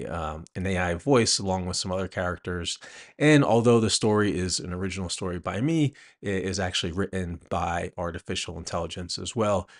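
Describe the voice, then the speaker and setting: A man hosting a podcast, introducing the show and speaking directly to listeners. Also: low-pitched. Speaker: podcast host and producer.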